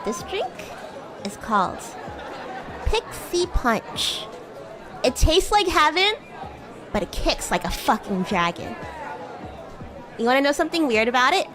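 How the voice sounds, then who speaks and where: A woman's voice: high voice, very animated, a little slow, pauses often, over music, crowd noise. A woman voicing a bratty, flustered young female demon classmate in improvised livestream roleplay, teasing and stammering as she acts haughty and defensive. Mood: chatty. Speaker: VTuber streamer.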